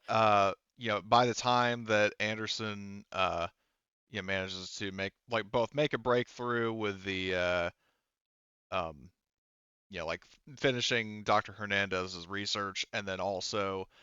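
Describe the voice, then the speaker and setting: A man running a tabletop roleplaying game as game master, feeling his way through the narration with halting, repetitive phrasing. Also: fairly low pitch, pushed and bright, smooth, no room sound, dull audio. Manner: halting, hesitant phrasing, repeats "you know" and "like".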